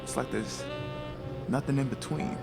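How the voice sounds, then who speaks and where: A man's voice: medium pitch, wildly swooping pitch, normal speed, vocal fry, over loud music. An actor playing a subdued, unsure aging male prizefighter in an audio drama.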